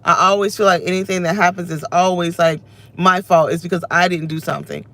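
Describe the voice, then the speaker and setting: A woman's voice: lowish voice, steady tone, fast, a bit bright. Scratchy-voiced woman venting in her car. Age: 30s. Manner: venting, emotional, restless, self-interrupting. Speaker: vlogger. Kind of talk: unscripted personal vlog rant. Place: inside her parked car. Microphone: phone camera held close.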